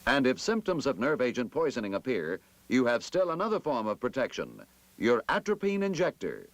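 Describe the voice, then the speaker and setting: A man narrating a scripted 1960s military instructional film in a formal, emphatic, measured manner. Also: mid-range voice, very animated, dry recording.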